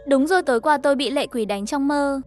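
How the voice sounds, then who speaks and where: A woman's voice: high-pitched, quick pace, faint music behind. A woman reading a scripted story, voicing the narration and all the dialogue herself. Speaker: narrator of an animated horror story recap.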